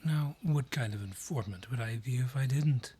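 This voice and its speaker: A man voicing an ancient, gruff dryad forest guardian in a fantasy audio drama, husky, brusque and ominous in delivery. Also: medium pitch, mellow, lightly acted. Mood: ominous, wry. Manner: deliberate, brusque, ominous storyteller. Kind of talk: fantasy audio roleplay acting.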